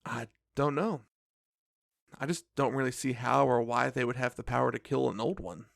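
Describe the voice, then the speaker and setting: A courteous cafe owner, heavily creaky voice. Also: male voice, mid-range voice, normal speed, fairly bright tone, no echo, studio quality. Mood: polite, curious. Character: polite, earnest cafe owner in a magical world. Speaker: voice actor in a fiction podcast. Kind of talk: audio drama dialogue.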